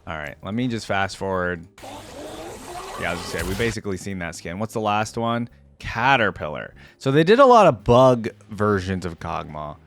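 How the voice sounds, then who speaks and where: A man hosting a casual, unscripted reaction video, commenting in a halting, conversational way as he thinks aloud. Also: on the low side, very animated, a bit bright. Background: background music.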